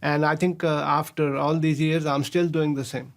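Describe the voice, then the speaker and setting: A man, a professor telling stories of his past in an interview, pausing often on fillers and chuckling as he goes. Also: a little high, level tone. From Pakistan. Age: 60s. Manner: chuckles often, halting with many filler sounds. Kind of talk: relaxed storytelling interview.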